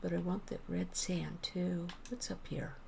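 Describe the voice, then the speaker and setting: A woman streaming a game, chatting casually with viewers and rambling as she thinks out loud. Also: on the low side, level tone, a little slow, creaky, a little hiss or hum. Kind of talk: casual gameplay chat with viewers.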